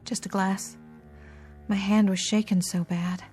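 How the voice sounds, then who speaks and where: A woman's voice: mid-range voice, little pitch movement, measured pace, darkish tone, faint music behind, lightly acted. An actress in a radio drama playing an exhausted, anxious female club dancer who frets and protests. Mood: drained, troubled. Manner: fretful, protesting.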